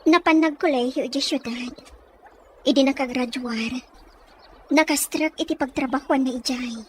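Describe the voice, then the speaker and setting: High-pitched young Filipina with an Ilocano accent played by a woman, wistful, lovelorn daughter in radio-style drama, noisy recording. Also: moderate pace, close to an everyday voice. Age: young adult. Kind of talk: Ilocano audio drama acting.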